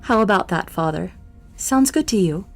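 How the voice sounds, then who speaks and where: A woman voicing a commanding, playfully threatening wife with a measured, teasing manner in a scripted ASMR roleplay. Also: mid-range voice, lively intonation, soft background music.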